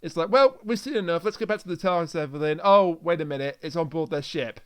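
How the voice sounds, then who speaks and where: High-pitched man chatting as podcast host. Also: fast, clear, resonant tone. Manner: jokey, acts out bits of dialogue. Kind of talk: casual review chat, recapping a story.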